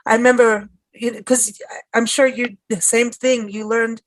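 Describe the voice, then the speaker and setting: A woman's voice: fairly high pitch, level tone, moderate pace, no room sound. A woman radio host with an American accent, talking in a chatty, rambling way with frequent restarts and laughs. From South Africa. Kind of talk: casual conversational interview.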